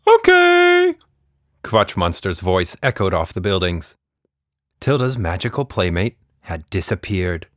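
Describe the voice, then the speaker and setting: A man's voice: sits low, a little slow, dry recording, studio quality. A man narrating a scripted children's story expressively, as a podcast storyteller.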